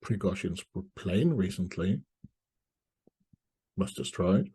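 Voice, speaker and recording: A middle-aged man from East Germany, giving reflective spoken commentary to viewers in deliberate short phrases with pauses. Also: sits low, expressive, mellow, breathy edge. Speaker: commentator presenting a video essay.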